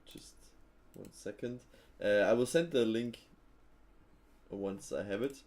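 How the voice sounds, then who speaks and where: A man with a fairly low voice hosts a live trading stream in a loose, chatty way. He thinks aloud, drops fillers, and chuckles easily while answering his viewers. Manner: relaxed, thinking aloud, frequent fillers and quick laughs.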